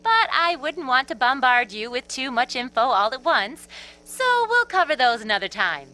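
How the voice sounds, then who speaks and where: A breezy female academy instructor from a video game. Her voice sits high and bright, with casual, teasing explanations that swing freely in pitch. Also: expressive, moderate pace, faint music behind. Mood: breezy, upbeat. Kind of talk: English video game voice acting.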